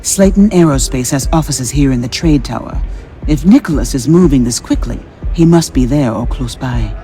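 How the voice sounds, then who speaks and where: An actor playing a businesslike aide in a video game, reporting in a matter-of-fact but urgent way. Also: male voice, mid-range voice, moderate pace, dark tone, soft background music, lightly acted, low-quality recording. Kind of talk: video game dialogue acting.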